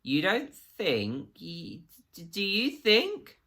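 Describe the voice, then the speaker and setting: An oily, anxious tradesman from a storybook, acted by a male reader. He blusters and falters, bluffing his way through questioning. His voice is pushed higher, with a tense, bright edge. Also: wildly swooping pitch, extremely slow, exaggerated voice. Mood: terrified. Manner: blustering, faltering, stammering when pressed.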